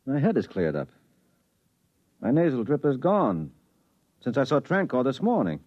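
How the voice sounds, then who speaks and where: A man's voice: mid-range voice, wildly swooping pitch, normal speed. A man playing a harried, married investigator, skeptical but earnest, in 1950s radio drama style. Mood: rueful, puzzled. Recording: muffled vintage 1950s radio recording.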